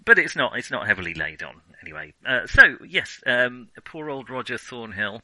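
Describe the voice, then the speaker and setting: A man's voice: medium pitch, very animated, moderate pace, sharp tone, dry recording, rough recording. A man chatting casually as a film podcast co-host, in a slightly hesitant, hedging way.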